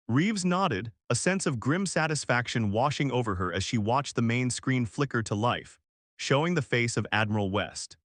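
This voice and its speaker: A man's voice: medium pitch, expressive, normal speed, fairly bright tone, dry recording, studio quality. A man reading a scripted science fiction story as a dramatic narrator. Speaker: audiobook-style narrator.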